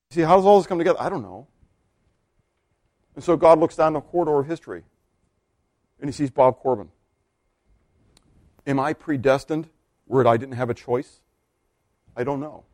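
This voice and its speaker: A man preaching a sermon, animated and full of questions for his listeners. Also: on the high side, no echo, clean studio sound. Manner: animated, asks the congregation questions. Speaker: pastor.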